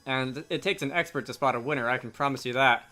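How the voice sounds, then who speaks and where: A man's voice: medium pitch, fast talker, sharp tone, faint music behind, natural voice. A man voicing a breezy, worldly Scottish wizard who is fond of drink, with a jovial, opinionated manner. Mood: jovial, boastful.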